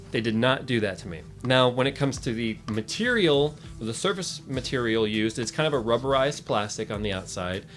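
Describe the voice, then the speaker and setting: A man talks through a casual tech review over faint music. He is chatty and jokey, often breaking off his own sentences to add asides. His voice sits at an ordinary middle pitch. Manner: chatty, jokey, self-interrupting. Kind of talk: casual product review to camera.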